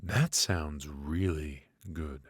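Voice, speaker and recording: A man playing a ruthless, smugly menacing mafia boss in a noir ASMR roleplay, speaking deliberately and theatrically with mock affection. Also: rumbling low, husky, no echo, exaggerated voice. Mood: coolly taunting. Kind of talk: ASMR roleplay, noir audio drama acting.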